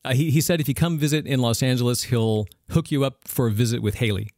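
A man's voice: mid-range voice, quick pace, a little mellow, no echo. Mid-voiced male host, dry and close on mic, friendly with small stumbles. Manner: friendly, stammering, laughs along. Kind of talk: casual interview talk.

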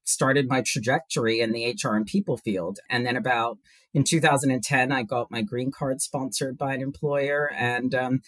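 A man's voice: medium pitch, steady tone, moderate pace, fairly bright tone, dry recording, poor audio. A man in his forties from Hong Kong with a mixed British-leaning international accent, a human resources executive answering interview questions thoughtfully, with many fillers. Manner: thoughtful, hesitant with many fillers, laughs easily. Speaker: HR executive guest.

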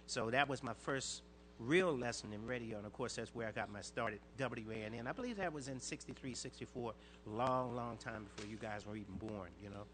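An older man with a fairly high, light voice answers questions about his years on air. He talks in quick bursts, leans on his inflections and restarts phrases often. A faint hum sits under the recording. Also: fairly bright tone. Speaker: radio disc jockey guest. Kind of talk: interview answers, reminiscing.

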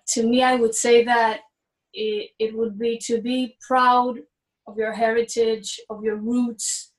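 A young woman from Israel with a slightly raised pitch speaks as a musician guest in an interview. She answers thoughtfully, pausing and restarting her phrases as she looks for the right words. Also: poor audio.

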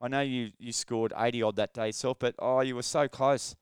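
A man's voice: medium pitch, steady tone, clear, resonant tone, no echo, studio quality. A man hosting a podcast and interviewing a guest in a chatty, enthusiastic way. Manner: chatty, enthusiastic, quick exclamations. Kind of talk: friendly interview.

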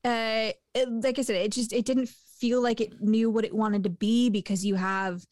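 A woman in a casual movie review chat, talking with lots of fillers and quick laughs. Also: a little high, fairly even intonation, darkish tone, dry recording, studio quality. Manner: chatty, laughs often, many fillers.

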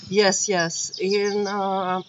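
A woman talks in a relaxed interview, pausing and searching for the right English words. The recording sounds dull and lo-fi, with a faint noise underneath. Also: medium pitch. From Romania. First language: Hungarian. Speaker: bag designer, guest. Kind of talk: casual interview chat.

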